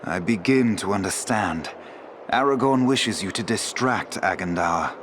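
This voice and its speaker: An actor playing a courteous, resolute elven warrior lord in a fantasy game, speaking in a formal, measured, archaic manner. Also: male voice, deep voice, expressive, background noise. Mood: grave, resolute.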